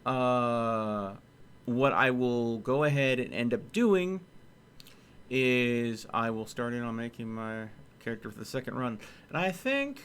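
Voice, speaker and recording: A man's voice: medium pitch, a bit bright, slight background noise. A man talking casually as a livestream host, rambling and thinking aloud as he goes.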